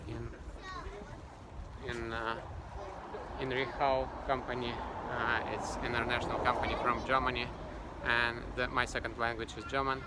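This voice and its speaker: A man's voice: medium pitch, level tone, moderate pace. A man hosts a walking tour outdoors, speaking hesitantly with many fillers and restarts. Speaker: vlog host. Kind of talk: casual walking tour narration. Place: outdoors on a city street. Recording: loud street traffic noise.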